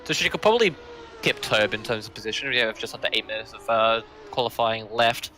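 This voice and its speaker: Bright-voiced male sim racing commentator. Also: on the low side, soft background music. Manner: relaxed, many filler pauses. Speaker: race commentator.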